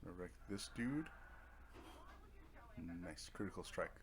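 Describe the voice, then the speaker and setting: A man's voice: sits low, lively intonation, unhurried. A man giving casual let's play commentary as a gaming video host, laid-back and muttering asides to himself.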